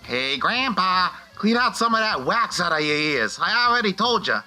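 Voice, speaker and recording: Cheeky Hanukkah spirit, very sharp forceful voice, lively swooping pitch, brash teasing delivery, comic radio play. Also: male voice, normal speed, soft background music, exaggerated voice. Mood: brash, wisecracking.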